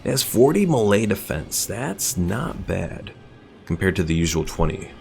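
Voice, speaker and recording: A man with a very low, gravelly voice chats through his strategy game on a live stream. He thinks aloud, reads out unit stats and viewer comments, and fills his pauses with plenty of uhs. Faint game music plays underneath. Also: big pitch swings, a little mellow.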